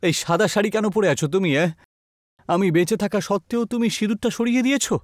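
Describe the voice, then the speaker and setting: A man voicing a frightened, agitated village husband in a horror story, excitable and complaining. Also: high voice, quick pace, no echo, clean studio sound, lightly acted. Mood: baffled, reproachful.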